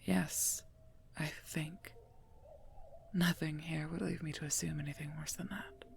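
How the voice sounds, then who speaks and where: A stern but protective werewolf knight played by a woman whispers in a breathy, heavily creaky voice. The delivery is formal and earnest with little melodic movement, gently scolding as in an intimate ASMR roleplay. Faint background ambience sits behind the close-up voice. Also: low-pitched, steady tone, a little slow, slight background noise, full character voice. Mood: careful, concerned.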